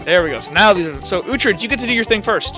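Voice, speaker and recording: A man's voice: high-pitched, lively intonation, quick pace, rough recording. A man narrating a tabletop roleplaying game as game master, casual, slangy and improvising as he goes, with music playing.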